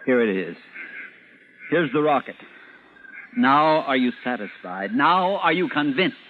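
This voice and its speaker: A man's voice: medium pitch, lively intonation, unhurried, natural voice. An actor playing an exasperated, commanding space captain in old-time radio drama style, desperate to prove that he is sane. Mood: urgent, insistent. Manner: emphatic, impatient, commanding. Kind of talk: 1940s-50s radio drama acting. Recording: noisy, tinny old radio recording.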